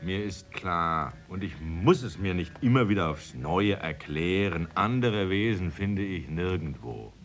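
An embittered poet in a radio play, ranting and declaiming with contempt. His delivery is slow and theatrical, swinging widely in pitch, with music behind him. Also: expressive. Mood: bitter, brooding. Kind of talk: radio drama acting.

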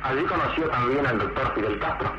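A man's voice: mid-range voice, expressive, brisk, dull audio. A man working as a radio journalist, putting formal, direct questions to a guest in an archival interview. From Argentina. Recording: distant, noisy old radio recording.

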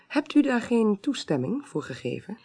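Brisk, expressive female clerk. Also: on the high side, husky, exaggerated voice. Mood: formal, inquiring. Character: composed, courteous female court clerk investigating a death. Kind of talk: naturalistic Dutch radio drama acting.

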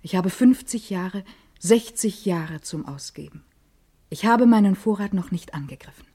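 An actress playing an eager, vain adolescent girl in a literary radio monologue, intimate and expressive. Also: mid-range voice, moderate pace, breathy edge. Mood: eager, dreamy. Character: vain, eager adolescent schoolgirl hungry for life.

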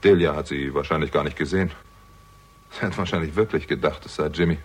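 An actor playing a shrewd young amateur sleuth in a German radio crime drama, husky-voiced, with a pointed, probing and wry manner. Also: male voice, extremely low pitch, fast talker. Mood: accusing, animated. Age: young adult.

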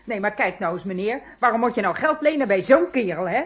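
An actress playing a blunt, indignant working-class shopkeeper's wife in a radio detective play, outspoken and scornful in colloquial Netherlands Dutch. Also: female voice, medium pitch, expressive, fairly quick, slightly rough.

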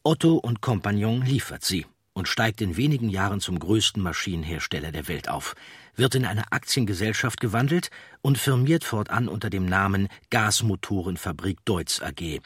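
A man's voice: low voice, lively intonation, moderate pace, a bit bright, breathy edge, dry recording, clean studio sound. A man reading a scripted documentary narration as an audiobook narrator.

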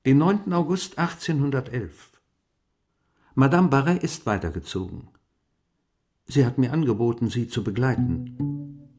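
A male actor plays an elderly gentleman diarist in a radio drama. His mid-range voice is measured and contemplative. He phrases formally and precisely, with a wistful undertone. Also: mid-range voice, expressive, faint music behind, natural voice. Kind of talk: literary radio play monologue, reading diary entries.

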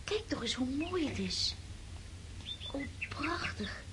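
An actress playing a dreamy, impulsive, affectionate teenage countess in a Dutch radio drama. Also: female voice, high voice, sing-song, takes it slowly, a bit bright, a little hiss or hum, exaggerated voice. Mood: enraptured.

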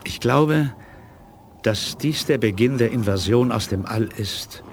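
A man acts out a resolute military commander in an eighties radio play. His mid-pitched voice is curt and decisive, set against prominent background noise. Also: measured pace, close to an everyday voice. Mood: grave, decisive. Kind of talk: 1980s German radio play acting.

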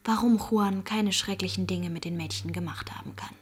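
An actress playing a proud, defiant young working-class woman in a radio crime drama, defensive and sometimes breathy. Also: medium pitch, moderate pace, natural voice. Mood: defiant, guarded.

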